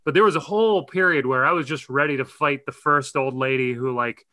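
A man from the Boston area co-hosting a podcast, chatty and quick to laugh. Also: medium pitch, bright, forceful tone. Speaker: podcast co-host. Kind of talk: casual podcast interview.

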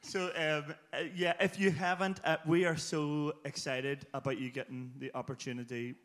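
A man's voice: fairly high pitch, level tone, moderate pace, fairly bright tone. A man, a church leader introducing and interviewing a guest in a hesitant, casual way. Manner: hesitant, with many fillers and restarts.

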